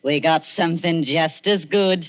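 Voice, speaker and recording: A woman's voice: low voice, a little slow. An actress playing a sinister, falsely sweet old woman, in 1940s radio style. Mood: oily, teasing. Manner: oily, falsely sweet, taunting. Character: wheedling, sinister old female body-snatcher boss. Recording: dull, muffled old radio recording.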